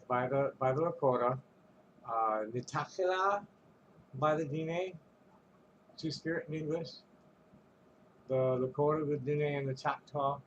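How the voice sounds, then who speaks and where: A man's voice: medium pitch, slight background noise, poor audio. A man ranting to camera in a casual vlog, rambling and profane, stumbling over restarts and chuckling between phrases. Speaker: vlogger.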